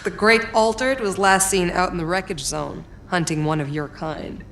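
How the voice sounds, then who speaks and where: An actress playing a cool, sly negotiator with a measured, commanding manner in a video game cutscene. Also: female voice, fairly low pitch, a bit bright, slight background noise. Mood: cool, calculating.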